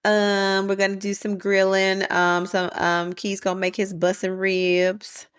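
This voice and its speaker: A woman's voice: medium pitch, flat delivery, a little slow, fairly bright tone, smooth, no echo, studio quality. An American woman with a folksy, country-sounding way of talking, bantering casually as a podcast co-host with her husband.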